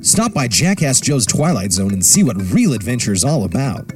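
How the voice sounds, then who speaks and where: Brief: salesy male announcer, bouncy pitch, loud music underneath. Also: very animated, normal speed. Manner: enthusiastic, salesy. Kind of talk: upbeat radio ad read.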